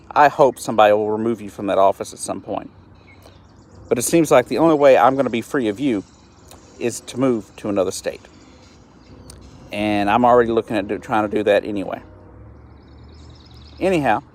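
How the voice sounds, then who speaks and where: A man, hosting a solo live stream and talking earnestly and with frustration, often stopping to restart his words. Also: medium pitch. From East Texas, US. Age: 30s. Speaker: host of a live-stream show.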